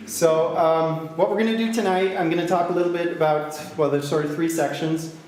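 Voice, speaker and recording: A man from Austria, giving a tech talk to a meetup crowd in English, halting, with many fillers and restarts, and a joking, self-deprecating manner. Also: fairly high pitch, fairly even intonation, darkish tone. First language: German. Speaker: conference speaker. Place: large echoey hall with a crowd. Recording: very echoey hall, faint noise.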